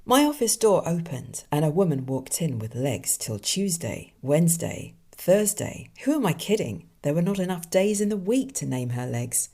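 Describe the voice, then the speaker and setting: A woman voicing a wisecracking male deer detective in a film noir drawl, delivering deadpan puns. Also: low-pitched, normal speed, hardly any pauses, full character voice. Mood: hardboiled deadpan.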